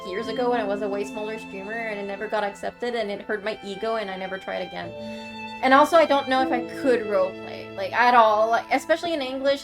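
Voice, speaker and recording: A woman streamer from Quebec, whose first language is French, chatting casually with her viewers, rambling and laughing often as she thanks them. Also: on the high side. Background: game music.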